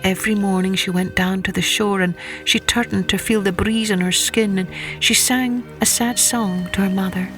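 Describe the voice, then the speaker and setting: A woman's voice: mid-range voice, steady tone, normal speed, hardly any pauses, music in the background. A woman storyteller reading a scripted children's folktale expressively.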